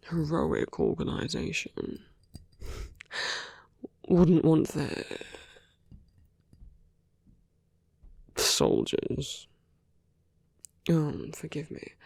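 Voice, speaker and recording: A man playing a suave, persuasive villain in an ASMR roleplay, speaking in measured rhetorical questions and slipping into near-whispers. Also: on the high side, creaky, a little mellow, breathy edge, no room sound, heavily acted. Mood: teasing, probing. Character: suave male villain, persuasive, teasing, self-justifying. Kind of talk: ASMR roleplay audio drama.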